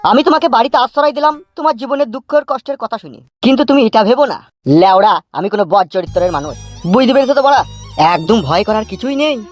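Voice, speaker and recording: Fast-talking village drunkard played by a man. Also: very high voice, expressive. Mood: reassuring, joking. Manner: coarse rural slang, wheedling reassurance. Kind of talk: comic cartoon dialogue. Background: music.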